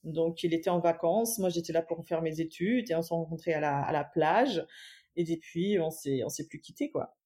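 German woman in her 30s, low-ish mellow voice, lots of euh and restarts, chatting easy. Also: normal speed. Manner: hesitant, many fillers and restarts, light laughs. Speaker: guest, entrepreneur. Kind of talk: casual interview chat.

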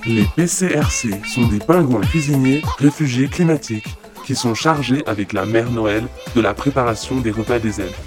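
A man's voice: medium pitch, moderate pace, over music, lightly acted. A man playing the explanatory narrator of a comic Christmas audio tale, formal and matter-of-fact. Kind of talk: scripted mock-documentary narration in comic audio fiction.

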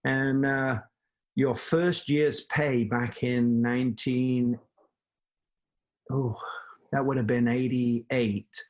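A man's voice: medium pitch, steady tone, very slow, long pauses, darkish tone. An older man from England with a Manchester accent, a guest telling his story at length in a casual interview, with many fillers and restarts. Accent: Manchester English. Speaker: guest, former plumbing business owner.

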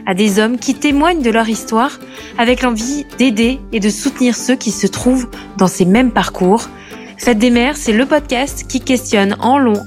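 A French woman who hosts a podcast, reading a scripted intro and then asking questions gently with some hesitation. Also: fairly high pitch. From France. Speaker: podcast host and journalist.

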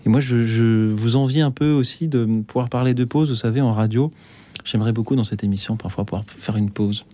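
A man hosting a live radio talk show from a studio, speaking in a measured way with gentle hesitations. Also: mid-range voice.

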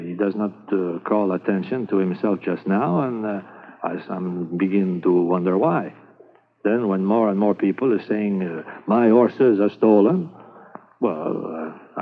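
フランス系カナダ訛りの騎馬警官. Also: male voice, lowish voice. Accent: French-Canadian. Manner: halting broken English with French words. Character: French-Canadian Mountie constable, calm and shrewd. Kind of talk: 1950s radio drama. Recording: tinny lo-fi old radio broadcast with hiss.

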